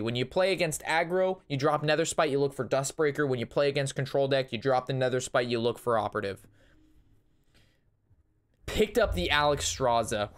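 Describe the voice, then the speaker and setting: Excitable young man casting a card game. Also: mid-range voice. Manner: excitable, analytical, talks through plays. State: voice strained from long talking. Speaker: Hearthstone caster reviewing a match. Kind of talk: casual game commentary.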